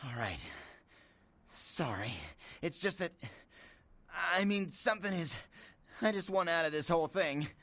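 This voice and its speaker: An actor playing a frightened, evasive lowlife man in a video game, stammering nervously through his street talk. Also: expressive, a little slow, long pauses, a bit bright, no room sound. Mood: frightened, haunted. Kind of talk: video game character dialogue.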